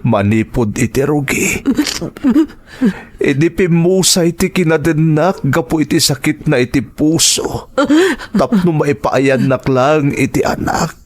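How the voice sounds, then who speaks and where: Man acting slow, husky, remorseful Ilocano husband. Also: a little high. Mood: remorseful, pleading. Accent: Filipino (Ilocano). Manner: slow, earnest, pleading. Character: remorseful returning husband and father pleading for forgiveness. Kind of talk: audio drama acting.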